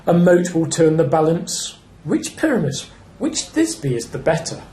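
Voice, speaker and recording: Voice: medium pitch, big pitch swings, unhurried, mellow. A performer playing a mocking noble spectator who quips in an amateur Shakespeare radio play. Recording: echoey room, faint background noise.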